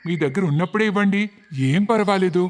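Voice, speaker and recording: A man's voice: high voice, fast talker, natural voice. A man playing a kindly, obliging brother-in-law in a cartoon story, speaking reassuringly. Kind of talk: acted cartoon story dialogue. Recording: faint background noise.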